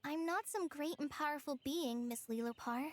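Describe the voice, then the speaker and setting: A woman voicing a gentle, humble young girl in a video game, earnest and hesitant in her manner. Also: high-pitched, moderate pace, a little hiss or hum, natural voice. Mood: earnest, modest. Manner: earnest, timid. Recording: music behind the voice.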